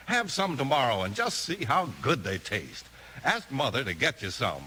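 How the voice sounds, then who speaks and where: An American man announcing a radio show and pitching its sponsor in an emphatic 1950s style. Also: sharp tone, a little hiss or hum, rough recording. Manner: emphatic, salesmanlike.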